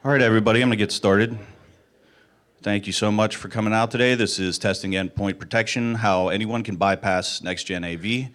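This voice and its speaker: Low-voiced, slightly hoarse man presenting. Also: fairly even intonation, brisk, a bit bright. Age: middle-aged. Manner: casual, self-deprecating humour. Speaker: conference speaker, security architect. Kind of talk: informal technical conference presentation.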